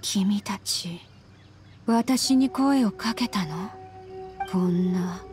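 A woman voicing a mysterious ghostly woman in a game, sorrowful and restrained, solemn and breathy, speaking in ominous murmurs. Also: medium pitch, drawn-out words, darkish tone, faint music behind. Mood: ominous warning. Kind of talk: Japanese game cutscene voice acting.

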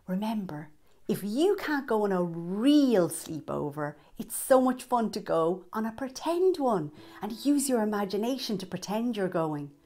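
A woman in her thirties presents children's storytime in an animated, exaggerated, sing-song way aimed at young children. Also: mid-range voice. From Ireland. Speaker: children's storytime host and entertainer. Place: small indoor set with fabric backdrop.

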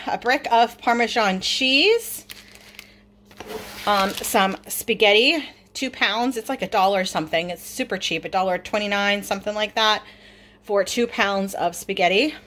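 A chatty middle-aged woman talks through her groceries in a relaxed, upbeat way, often stopping on an "um." Her voice sits at an ordinary pitch for a woman. There is a faint hiss of background noise in her home kitchen. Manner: chatty, upbeat, with many ums. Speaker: vlogger showing a grocery haul. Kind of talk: casual chat over a grocery haul.

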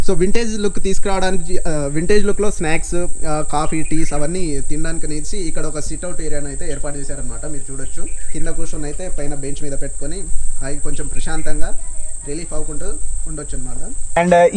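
A young man vlogging a campsite visit, chatty and brisk as he points things out to viewers, filmed on a handheld phone outdoors. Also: fairly high pitch, a little mellow, a little hiss or hum, low-quality recording. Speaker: travel vlogger. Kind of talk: casual vlog narration. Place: outdoors at a campsite at dusk and night.

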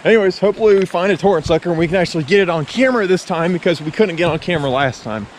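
A man's voice: high voice, very fast, a little hiss or hum. A young man narrating his fishing outdoors by a mountain creek, chatty and enthusiastic, recorded on a body-worn action camera. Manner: enthusiastic, chatty, filler-laden. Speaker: fishing vlogger.

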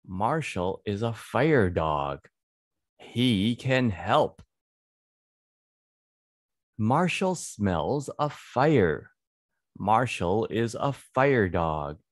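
A man in his thirties giving a read-aloud English phonics lesson for children, deliberate and repetitive, close to a desk microphone in a small room. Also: fairly low pitch, dry recording. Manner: deliberate, repeats words and sentences. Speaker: English reading teacher for children. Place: small indoor home studio. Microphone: close desk microphone on boom arm.

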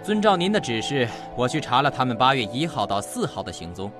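A man's voice: mid-range voice, very animated, fairly quick, over music, close to an everyday voice. An actor playing a sly, fawning henchman and shady informant, glib and wheedling, in an audio drama. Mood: reporting glibly. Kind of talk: Chinese audio drama acting.

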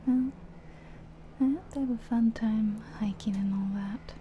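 A woman playing an easygoing night-shift taxi driver, musing softly to herself in a breathy ASMR roleplay. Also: a little high, unhurried, a little hiss or hum, close to an everyday voice. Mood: calm, musing. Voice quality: breathy whisper. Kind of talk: soft-spoken whispered ASMR roleplay.

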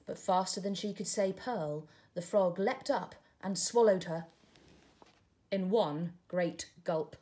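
A woman, a teacher reading a picture book aloud at home with expressive, gentle storytelling. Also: fairly low pitch, takes it slowly. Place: living room at home, indoors.

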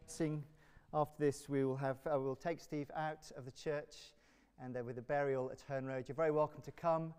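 A middle-aged man with an English accent speaking as a church minister, leading prayers and addressing a congregation in measured, solemn phrases. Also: fairly high pitch, fairly even intonation, darkish tone, faint music behind. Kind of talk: liturgical prayer and announcements to a congregation. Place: large church, indoors. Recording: reverberant church hall.

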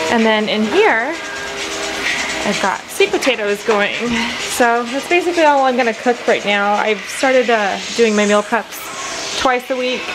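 A young adult woman chatting casually as a fitness vlogger into a handheld camera at home. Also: a little high. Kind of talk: casual vlog chat. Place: home kitchen and room indoors. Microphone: handheld camera at arm's length. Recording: clear household background noise.

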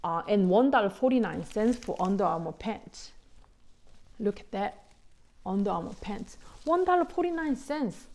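A middle-aged woman, not a native English speaker, excitedly chatting to viewers about bargain clothes in simple English with many "you know"s. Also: mid-range voice, normal speed. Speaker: vlogger showing a shopping haul. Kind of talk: casual chat to viewers.

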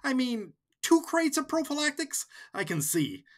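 A man playing a rambling, eager-to-please mobster deputy with a raspy voice in a comic radio play. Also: extremely high pitch, sing-song, moderate pace. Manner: rambling, nervously deferential.

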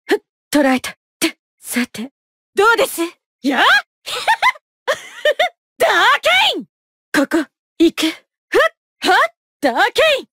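A young female knight from a fighting game, with a high voice that swings widely in pitch. She is haughty and coolly ruthless, polite in wording but imperious in delivery. The recording is dry and close, with clipped battle lines. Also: big pitch swings, measured pace, pauses often, a bit bright, no echo, heavily acted. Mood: fierce.

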